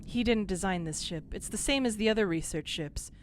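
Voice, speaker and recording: An actress playing a blunt, analytical scientist aboard a research ship, asking demanding questions in an audio drama. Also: female voice, medium pitch, a little fast, slight background noise, natural voice. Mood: reasoning.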